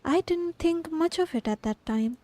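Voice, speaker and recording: A woman's voice: extremely high pitch, expressive, normal speed, warm tone, slight background noise. A woman giving casual reactions while livestreaming a game. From India. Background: faint game music.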